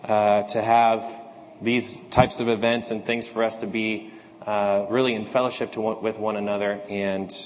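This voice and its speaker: A slightly hoarse man speaking as a pastor, reading scripture, praying and giving announcements in a measured, earnest way. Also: fairly low pitch, little pitch movement, big-room sound, slight background noise. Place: church sanctuary.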